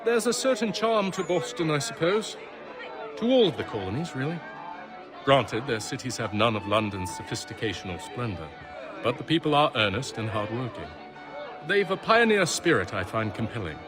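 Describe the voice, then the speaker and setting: An actor playing a refined, earnest and slightly snobbish gentleman in a game cutscene, formal and thoughtful. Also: mid-range voice, very animated, normal speed, over music, crowd noise, close to an everyday voice. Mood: reflective. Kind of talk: dramatic game cutscene acting.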